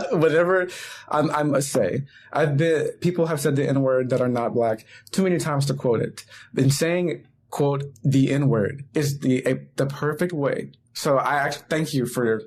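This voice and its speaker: A mid-pitched man from Texas and Tennessee speaks as an interview guest, open and lively. He laughs easily and fills his sentences with "like" and "you know". A faint hum of room noise sits behind him. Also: a little slow. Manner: animated, candid, laughs easily, many fillers. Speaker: guest, photographic artist. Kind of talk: casual interview talk.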